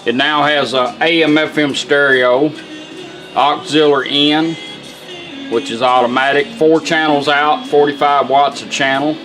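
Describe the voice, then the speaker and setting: A middle-aged man with a Southern American accent walks through a product in a casual, folksy style. His voice sits a little high for a man, and music plays behind him. He is plainspoken, like a tradesman showing his work. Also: steady tone, a little slow, fairly bright tone. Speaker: radio restorer and product demonstrator. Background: music playing.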